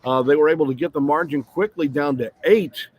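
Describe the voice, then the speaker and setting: A man's voice: fairly high pitch, a little fast, dry recording, poor audio. A man working as a play-by-play broadcaster, giving a detailed radio postgame recap full of scores and stats. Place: courtside broadcast position at an arena.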